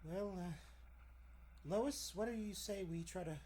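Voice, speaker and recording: A man's voice: sits high, measured pace, heavy background noise. A man playing a mild, timid, stammering newspaper reporter in 1940s radio serial style. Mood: mild, sheepish. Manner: stammering, hesitant.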